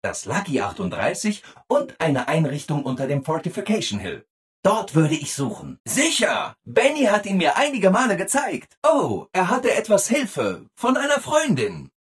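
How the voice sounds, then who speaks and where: Over-eager robot assistant, video game character, hugely swooping intonation, very husky rough-edged tone, relentlessly cheerful. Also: male voice, sits high, wildly swooping pitch, moderate pace, heavily acted. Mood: chipper. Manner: chirpy, over-eager, exaggeratedly obliging.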